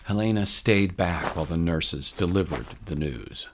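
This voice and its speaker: A man's voice: sits low, normal speed, noisy background. A man reading scripted story narration as the narrator of a tale. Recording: voice over prominent music bed. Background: music.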